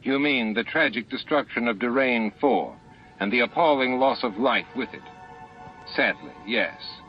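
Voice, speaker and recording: A man's voice: medium pitch, unhurried, voice pushed up, lightly acted. A man voicing a smug, supercilious male alien military commander with falsely courteous manners in an audiobook. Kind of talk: audiobook character dialogue. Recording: muffled lo-fi audiobook with music.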